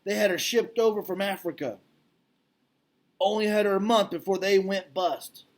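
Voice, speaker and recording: Brash, short-fused showman voiced by a male reader, storybook villain, high-pitched and loud, folksy hustler's bluster. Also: moderate pace, lightly acted. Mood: boastful, salesmanlike. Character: brash, greedy, bad-tempered male mall circus owner.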